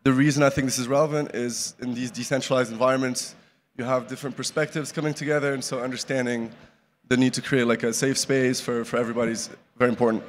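A man's voice: medium pitch, flat delivery, fast talker. A young man from Morocco giving a casual conference talk at a podium, full of fillers and restarts. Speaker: conference speaker. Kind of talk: live conference talk with slides. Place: large conference hall with audience. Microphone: podium microphone. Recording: reverberant hall, faint background noise.

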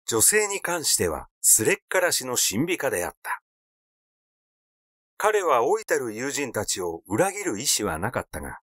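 Expressive male story reader, dry close sound. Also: mid-range voice, no room sound. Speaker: audiobook reader. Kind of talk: scripted literary narration, reading a story aloud.

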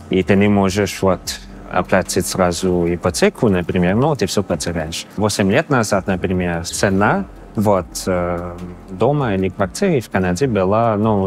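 A man in his thirties from Canada with a Canadian accent, speaking a learned second language with pauses and fillers in an interview. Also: lowish voice. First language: not Russian. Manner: halting, searching for words with many fillers. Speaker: interview guest. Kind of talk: casual interview answers. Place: exhibition hall indoors. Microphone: lapel.

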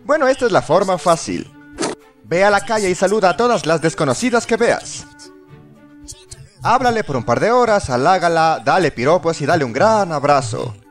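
A man's voice: sits high, lively intonation, normal speed. A man narrating a scripted comedy parody in a sarcastic, exaggerated, exclamatory manner. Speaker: fandub narrator. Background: music.

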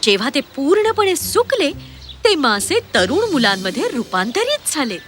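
A woman narrating a scripted children's fairy tale in an expressive, dramatic storytelling manner. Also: high voice, normal speed. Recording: music clearly under the voice.

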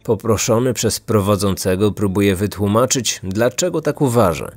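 Male true-crime podcast narrator, low voice, close dry recording over music. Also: moderate pace, no room sound. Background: background music.

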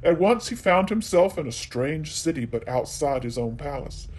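A man storyteller reading a children's tale aloud in an animated narrating style. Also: on the high side, moderate pace.

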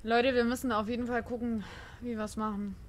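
A woman's voice: on the high side, little pitch movement, a little fast. A woman chatting in character during an improvised survival role-play game.

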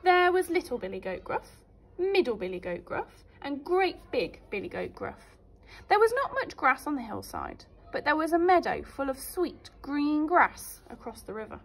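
A woman teacher reading a story aloud for young children in an expressive, emphatic way. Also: high-pitched, normal speed. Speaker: primary school teacher.

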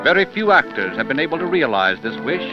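A man's voice: a little high, very animated, quick pace. A man narrating a scripted 1930s radio show in a dramatic, portentous manner. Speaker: narrator, host. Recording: muffled old 1930s radio recording. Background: music.

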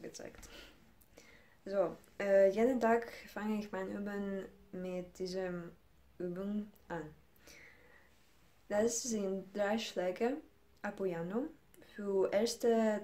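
Slow-talking young woman from Ukraine teaching guitar. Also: medium pitch, dark tone. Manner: slow, careful non-native speech with hesitations. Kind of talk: guitar technique lesson. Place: small room indoors. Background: classical guitar playing.

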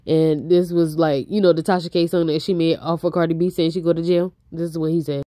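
Stuffy-nosed woman gossiping fast. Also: sits low, flat delivery, warm tone, dry recording. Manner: chatty, fast, reads out posts. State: stuffy nose, a bit out of breath. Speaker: YouTube host. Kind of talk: casual celebrity gossip commentary.

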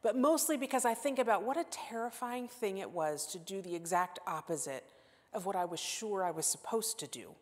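A woman's voice: medium pitch, expressive, fairly quick. Woman preaching in a reverberant sanctuary. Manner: earnest, self-deprecating, swings from emphatic to hushed. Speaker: guest preacher. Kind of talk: sermon. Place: church sanctuary. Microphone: lapel mic. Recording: long church-hall reverb.

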